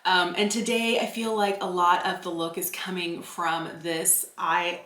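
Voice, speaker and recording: A woman's voice: on the low side, little pitch movement, measured pace, sharp tone, reverberant. A woman in her forties chatting casually through a makeup tutorial, narrating each step with self-deprecating asides. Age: 40s. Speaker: beauty vlogger.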